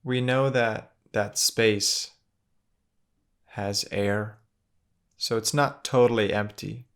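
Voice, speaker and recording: A man with a low voice guides a meditation at a very slow pace. He speaks gently and in a measured way, leaving long silences between short phrases. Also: steady tone, smooth.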